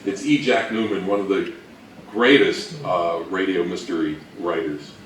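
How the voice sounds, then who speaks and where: A man giving an informal, halting talk on history as a co-presenter, thinking aloud as he goes. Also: deep voice, fairly even intonation. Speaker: co-presenter at a fan convention talk. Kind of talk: informal lecture on old radio history. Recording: roomy, distant mic with faint noise.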